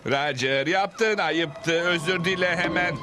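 A man's voice: a little high, takes it slowly, very noisy. A man voicing a childlike, dim but sweet-natured young cartoon outlaw, naive and playful. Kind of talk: cartoon dubbing.

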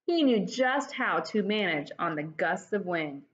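A woman in her forties reads a children's book aloud with lively, swooping intonation. She explains things to young listeners as a teacher would. The sound is slightly dull, from a laptop mic in a room at home. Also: lowish voice, measured pace. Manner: expressive, explanatory, addressing children directly. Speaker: teacher reading aloud to pupils. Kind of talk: children's storybook read-aloud. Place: dining room at home, indoors. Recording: dull lo-fi webcam audio.

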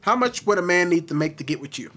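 A man hosting a casual video-call chat, teasing and blunt in an animated way. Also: high-pitched, fast talker. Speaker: commentary channel host.

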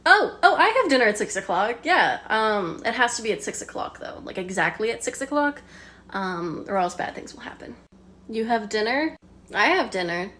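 A woman's voice: a little high, sing-song, moderate pace, fairly bright tone. A woman performing a playful, self-mocking comedic skit. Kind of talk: comedic short-video skit.

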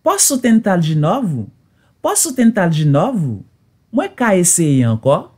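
A woman teaching phrases, saying each one twice slowly and deliberately, then giving the Haitian Creole translation; her first language is Haitian Creole. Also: fairly low pitch, big pitch swings, a little mellow, breathy edge. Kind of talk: slow phrase drills, repeating each phrase.

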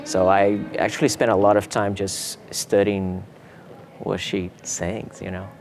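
A young Brazilian man, a native Portuguese speaker with a Brazilian accent, casually answering interview questions in a relaxed way while seated in a theater hall. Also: lowish voice, a little slow, vocal fry, soft background music. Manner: relaxed, laid-back, many fillers like "you know" and "man". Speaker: guitarist.

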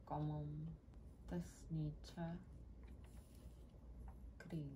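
A woman's voice: low voice, little pitch movement, extremely slow, background noise. A young woman with a Korean accent reading aloud carefully, word by word, as an ASMR practice session at a desk at home. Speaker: ASMR creator.

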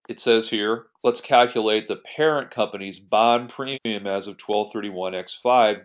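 A man giving an explanatory tutorial as an accounting instructor, working through an example step by step in a conversational way. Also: on the low side. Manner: step-by-step, conversational, poses questions then answers them. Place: voice-over on a screen recording.

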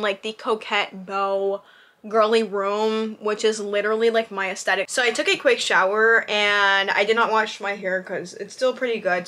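A woman's voice: medium pitch, bright, forceful tone, a little hiss or hum. Chatty young woman vlogging at home. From New Jersey. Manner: chatty and bubbly, lots of likes and ums. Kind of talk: casual vlog chat to camera. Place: bedroom at home, indoors.

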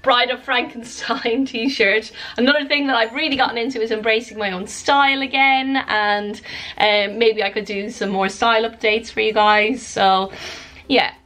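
A woman's voice: on the high side, fairly even intonation, normal speed, pushed and bright. A woman in her thirties, slightly snuffly with a cold, talking earnestly to camera in a cottage living room. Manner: earnest, open, talks with her hands. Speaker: vlogger. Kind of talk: casual vlog chat to camera.

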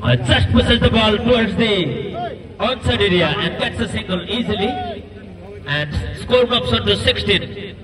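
A man with an Assamese accent giving live cricket commentary at an outdoor night match, growing excitable and shouting on big hits. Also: sits high, a little slow. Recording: distant loudspeaker voice over crowd noise. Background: large crowd.

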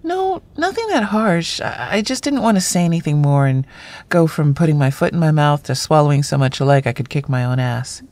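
An actress playing a self-deprecating screenwriter in a naturalistic audio drama, with dry, sardonic banter. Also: female voice, low voice, very animated, brisk, a little mellow. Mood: sheepish. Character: wry self-deprecating woman screenwriter among close friends.

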